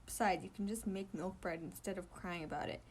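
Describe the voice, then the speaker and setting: A woman voicing a blunt, grumpy teenage boy in a story, curt and deadpan. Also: on the low side, fairly quick, husky. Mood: snappish.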